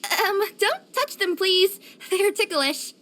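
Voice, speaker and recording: Super high pitched cute cat girl courier for a video game, eager n cheery, really animated. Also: takes it slowly, slight background noise, heavily acted. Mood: flustered. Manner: expressive, cutesy, with gasps and hesitant murmurs. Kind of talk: scripted video game character voice lines.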